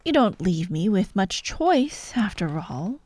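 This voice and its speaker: A woman's voice: medium pitch, big pitch swings, slow, darkish tone, no room sound. A woman playing a vengeful fired actress holding hostages in an ASMR audio drama, taunting and theatrical, often dropping to a whisper. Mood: sinister, taunting. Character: vengeful fired actress, sadistic hostage-taker directing victims.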